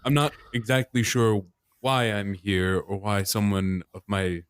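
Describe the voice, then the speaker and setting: Haughty low-voiced man roleplaying. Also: fairly even intonation, unhurried, smooth, no room sound. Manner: haughty, fussy, self-important. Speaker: tabletop game player. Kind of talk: in-character roleplay.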